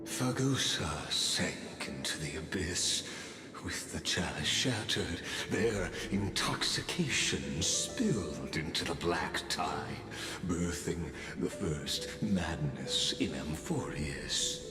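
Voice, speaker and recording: An ominous, theatrical storyteller intones myth in a video game. His voice is extremely deep and drawn out very slowly, grave and portentous. It rings in long reverb over music. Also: animated, exaggerated voice. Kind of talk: dramatic scripted game narration.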